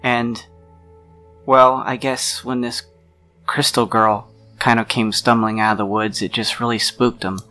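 A man playing a troubled, protective friend of a missing woman in a role-play drama, speaking in a halting, deliberate, sincere way with a gravelly voice. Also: medium pitch, flat delivery, pushed and bright. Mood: uneasy, recounting. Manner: halting, deliberate, pausing mid-sentence. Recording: music under the voice.

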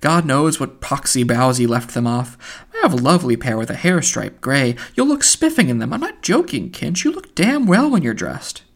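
A man's voice: mid-range voice, very animated, moderate pace. A man reading the part of a theatrical, teasing young man in an audiobook, his voice swooping up as he mocks. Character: mocking, exuberant young man shaving.

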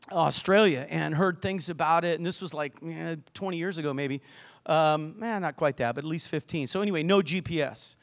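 Older man, pastor, high voice, lively sermon, chatty asides, church hall. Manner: lively, joking preacher with asides to the crowd.

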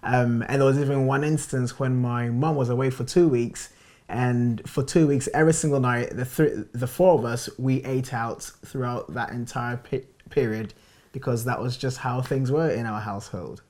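A man's voice: mid-range voice, fairly even intonation, normal speed, darkish tone. Reflective man sharing his marriage story. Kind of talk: reflective personal testimony.

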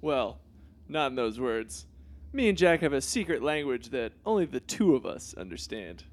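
A man's voice: medium pitch, sing-song, moderate pace, natural voice. A man playing a vain, self-pitying teenage boy superhero in a comedy sketch, talking in a pompous, oblivious way. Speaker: Bruce Wayne Jr.

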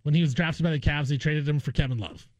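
A man with a noticeably high voice co-hosts a sports talk show. He argues emphatically, hitting the same phrase again to make his point. The sound is dry and close, like a radio studio. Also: fairly even intonation, quick pace, a little mellow, dry recording, dull audio. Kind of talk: opinionated sports talk debate.

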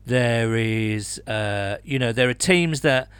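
A man talks sports casually as a podcast co-host. His voice sits at a middling pitch and his phrasing is colloquial and colourful. The sound is close and dry, with very little room in it. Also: slow pace, fairly bright tone, clean tone, no echo.

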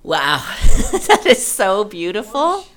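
A woman's voice: medium pitch, huge pitch swings, slow pace, a bit bright. A woman hosting a literary podcast, effusive and thinking aloud as she discusses writing. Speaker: podcast host, writer.

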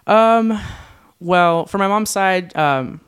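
A young man in his twenties from Southern California, talking as a podcast guest. His voice sounds smooth and clear, and he talks in a relaxed way, pausing on ums and laughing often. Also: high voice. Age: 20s. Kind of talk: casual conversational interview.

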